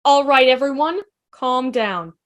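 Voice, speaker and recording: Take-charge doctor read by a woman, high and energetic, short commanding phrases, amateur drama reading. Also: a little slow. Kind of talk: amateur online table read.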